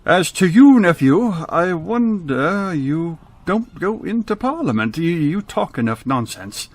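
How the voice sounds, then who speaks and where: An actor playing a grumpy, miserly old Victorian business owner in a radio drama, curt, scolding and scornful. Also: male voice, fairly high pitch, big pitch swings, unhurried, no echo. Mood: irritated, scornful.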